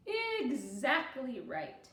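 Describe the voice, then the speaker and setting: A woman's voice: high voice, huge pitch swings, drawn-out words, a bit bright, distant mic, exaggerated voice. A woman voicing a confident, theatrical little schoolgirl who tells stories with bossy, exasperated emphasis. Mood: impatient, emphatic. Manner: theatrical, emphatic, self-assured. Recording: roomy echo, faint background noise.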